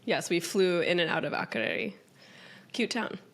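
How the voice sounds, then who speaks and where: A woman co-hosting a podcast in casual, playful chat, a little raspy and nasal from a cold, laughing often. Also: on the low side, expressive, normal speed. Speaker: podcast co-host.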